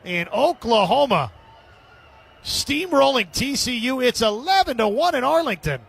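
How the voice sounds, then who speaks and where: A man calling baseball play-by-play as live radio sports commentary, with a husky edge to his voice. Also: very high voice, lively intonation, unhurried, a bit bright.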